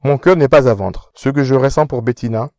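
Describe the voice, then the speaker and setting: A man's voice: medium pitch, a little fast, a little mellow, no room sound, clean studio sound, natural voice. An actor playing a proud, witty young man who answers back with mocking self-assurance in an audio drama. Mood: defiant. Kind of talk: independent audio drama acting.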